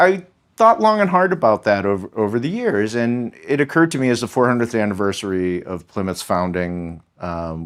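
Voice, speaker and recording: A man with a lowish voice, explaining things in a measured, thoughtful way. He pauses on ums and restarts phrases as he goes, like a professor talking casually as a podcast guest. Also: a bit bright. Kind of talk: conversational podcast interview.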